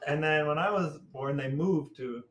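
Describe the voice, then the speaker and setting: A man's voice: fairly high pitch, normal speed, a bit bright. A man in his forties with an American Midwestern accent, co-hosting casual family banter in a jokey, dry manner. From Ohio, United States. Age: 40s. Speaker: podcast co-host. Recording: roomy, echoing sound.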